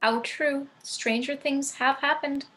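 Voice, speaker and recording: Lady doing elegant spooky mom, composed and deadpan, slightly high voice, darkly witty comedy. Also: takes it slowly, exaggerated voice. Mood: darkly dry. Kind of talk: amateur table read of a film comedy.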